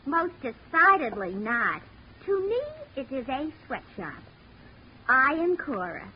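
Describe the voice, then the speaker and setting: Showgirl turned maid: high-pitched, putting on airs, deadpan slang, old radio with some noise. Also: slow pace, exaggerated voice. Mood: sassy, dry. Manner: affectedly formal, deadpan slangy grammar. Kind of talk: 1940s radio comedy-drama acting. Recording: dull, noisy old radio recording.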